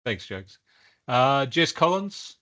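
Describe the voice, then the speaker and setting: A man running a workshop meeting, relaxed and loose with his fillers. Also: mid-range voice, slow pace. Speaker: meeting facilitator. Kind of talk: workshop introductions. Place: meeting room.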